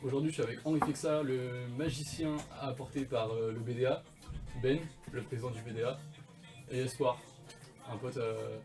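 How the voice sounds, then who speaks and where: A man's voice: medium pitch, fairly even intonation, normal speed. Hesitant male radio host, far-off mic. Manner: hesitant questions full of fillers. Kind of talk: campus radio interview. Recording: distant mic with music behind.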